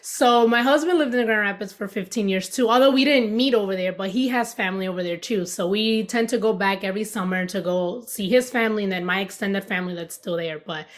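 Woman, 30s, from the United States, podcast guest, casual chat, rambling, laughs a lot, middle pitch. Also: fairly quick, hardly any pauses. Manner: chatty, laughs often, rambling with fillers.

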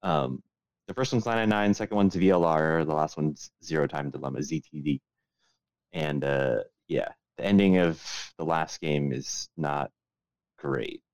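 A man's voice: a bass voice, measured pace, a little mellow, no echo. A man chatting casually as a podcast host, swearing freely and giving opinions with plenty of hedging. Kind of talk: casual chat, profane.

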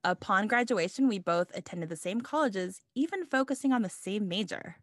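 A woman's voice: mid-range voice, animated, moderate pace, no room sound, clean studio sound. A woman in her thirties from Los Angeles, introducing herself as podcast co-host in an upbeat, eager scripted read. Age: 30s.

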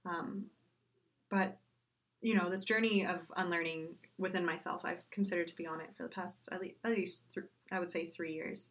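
A young woman with a slightly low voice takes part in a discussion. She speaks earnestly and reflectively, repeating phrases deliberately for emphasis. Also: fairly even intonation, a bit bright. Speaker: panel discussion participant.

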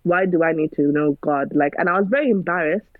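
A woman's voice: low-pitched, a little fast, no room sound. Earnest woman, tinny phone-like sound. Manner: earnest, preachy, rhetorical questions. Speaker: guest. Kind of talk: casual conversation. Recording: dry, phone-like narrow sound.

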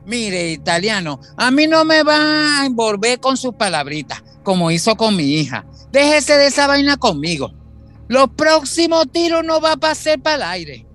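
A man playing a gruff, threatening old Venezuelan rancher father in a radio soap opera. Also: very high voice, sing-song, normal speed, fairly bright tone, soft background music, exaggerated voice. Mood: furious. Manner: gruff, cursing, bossy.

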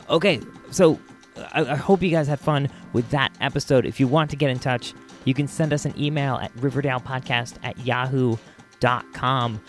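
An American man hosts a podcast in a mid-range voice, with faint music behind him. His commentary is casual and chatty, and he often pauses to find his words. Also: medium pitch. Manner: informal, hesitant, chatty. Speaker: podcast host.

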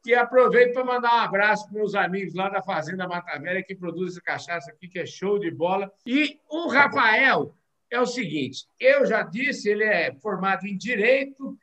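A man hosts a podcast with an exuberant, folksy energy. His voice is bright and forceful, and he talks straight to his listeners between questions to his guest. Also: high voice, expressive, normal speed, lo-fi sound. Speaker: podcast host. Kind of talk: lively podcast interview.

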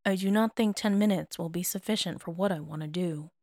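A woman voicing a young male courtesan in an audiobook, composed and soft, matter-of-fact yet shyly playful. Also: lowish voice, brisk, dry recording, studio quality, close to an everyday voice. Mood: matter-of-fact, teasing.

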